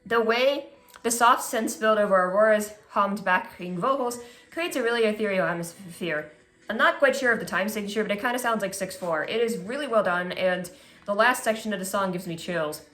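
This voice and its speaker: A woman's voice: mid-range voice, moderate pace, a bit bright. A congested woman hosting a music ranking video, reading scripted commentary in a casual, slightly sardonic way. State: congested, stuffy nose. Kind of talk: scripted list commentary read aloud. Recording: echoey room.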